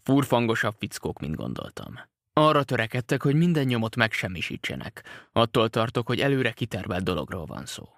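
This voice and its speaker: A man voicing a shrewd, cool-headed detective who reasons things out in a calm, measured and precise way. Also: mid-range voice, no echo, clean studio sound. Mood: calm reasoning.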